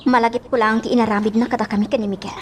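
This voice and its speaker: A woman's voice: fairly high pitch, level tone, rapid-fire, recorded from a distance, a little hiss or hum, close to an everyday voice. A woman playing a heartbroken young lady in a radio drama, bitter and wistful, with emotive delivery. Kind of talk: Ilocano radio drama acting.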